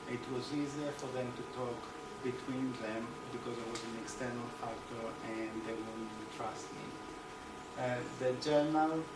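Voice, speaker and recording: A man from Greece with a Greek accent giving an academic seminar talk, hesitant with many pauses and restarts. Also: medium pitch, steady tone, over loud music. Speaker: seminar speaker. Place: seminar room. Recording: echoey room, distant mic, loud background noise.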